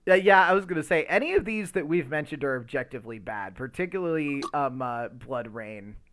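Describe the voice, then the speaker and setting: A man's voice: a little high, animated, brisk, a bit bright, slight background noise. A man co-hosting a podcast in a casual discussion, talking in a rambling, hesitant way with lots of filler words. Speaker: podcast co-host.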